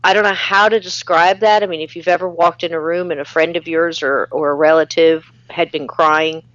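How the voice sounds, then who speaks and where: A woman's voice: low-pitched, fairly even intonation, fairly quick, a bit bright, dry recording, lo-fi sound. Chatty woman hosting a live stream. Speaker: live-show host. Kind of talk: casual live-stream chat, reading viewer comments.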